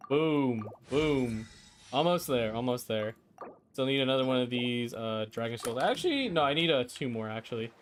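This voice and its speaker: A man's voice: mid-range voice, lively intonation, moderate pace, slight background noise. A man hosting a live game stream, talking in a casual, rambling, slangy way. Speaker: livestream host, gamer. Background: game music.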